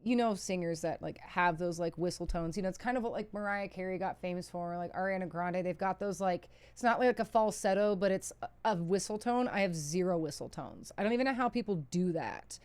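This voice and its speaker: A woman's voice: fairly low pitch, brisk, darkish tone, clear, resonant tone, dry recording. A woman from the chats casually on a livestream, answering questions in a candid, self-deprecating, sweary way. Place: indoors, seated at a PC.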